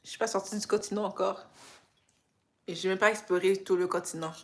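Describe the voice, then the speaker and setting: A woman's voice: medium pitch, brisk. A young woman from Canada with a Québécois French accent, sounding tired and chatting casually with viewers on a livestream, slipping in English phrases. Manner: relaxed, mixes in English phrases. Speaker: livestream host.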